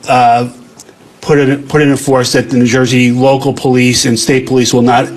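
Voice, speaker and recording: A man's voice: medium pitch, low-quality recording. A man in the audience putting a question to a political panel. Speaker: audience member. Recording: faint background noise and ambience.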